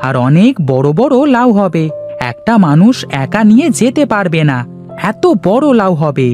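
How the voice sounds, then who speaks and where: A man's voice: high voice, sing-song, normal speed, darkish tone, faint music behind, voice pushed up. A man voicing an eager, boastful little village boy in a cartoon story. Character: excitable little village boy who adores gourds.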